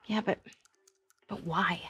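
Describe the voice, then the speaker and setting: An actress playing a confident, teasing young American investigator in a video game, speaking in a wry, conversational way. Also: female voice, on the low side, fairly even intonation, takes it slowly, pushed and bright, breathy edge, dry recording, heavily acted. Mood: curious, determined. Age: young adult. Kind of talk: video game cutscene acting.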